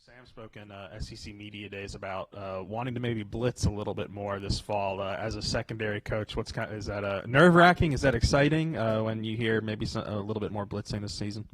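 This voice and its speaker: Low-voiced man asking halting questions. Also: poor audio. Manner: long, halting questions with fillers. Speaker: sports reporter. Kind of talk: press conference question.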